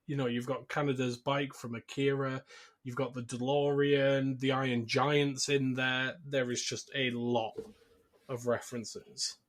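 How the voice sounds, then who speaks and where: A man with a mid-pitched voice hosts a casual film podcast. He talks informally, with a wry sense of humour, as he discusses and recaps. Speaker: podcast host.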